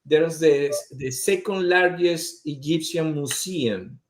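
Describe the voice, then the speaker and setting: A man's voice: fairly high pitch, slow. A man, speaking casually as a guest. He talks, often searches for words and uses many fillers. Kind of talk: casual conversation. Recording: echoey room.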